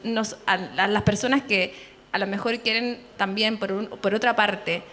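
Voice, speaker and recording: A woman's voice: mid-range voice, steady tone, a little slow, a bit bright. A young woman, a journalism student, interviewing a guest in a hesitant way with many filler sounds. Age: young adult. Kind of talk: conversational interview.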